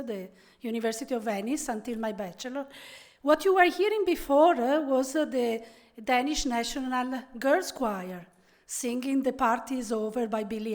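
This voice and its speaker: A middle-aged woman from Italy with an Italian accent, giving a conference keynote in a friendly, conversational way with frequent pauses and fillers. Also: on the high side. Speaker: keynote speaker.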